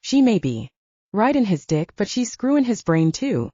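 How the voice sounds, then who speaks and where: A woman's voice: mid-range voice, big pitch swings, normal speed, warm tone, no echo, highs cut off. A woman voicing a hard, unyielding male werewolf leader in an audiobook, stern and cutting in manner. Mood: cold, dismissive. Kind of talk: audiobook character dialogue.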